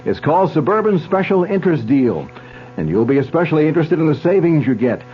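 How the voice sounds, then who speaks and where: A man's voice: fairly high pitch, very animated, fast talker, soft background music. A man announcing commercials in an upbeat, salesy way. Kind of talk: scripted ad announcing. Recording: muffled old radio broadcast.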